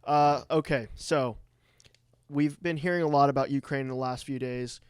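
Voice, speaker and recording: A man co-hosting a podcast in casual banter, informal and self-mocking, thinking out loud as he talks. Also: medium pitch, fairly even intonation, normal speed, clear, resonant tone, dry recording, studio quality. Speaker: podcast co-host.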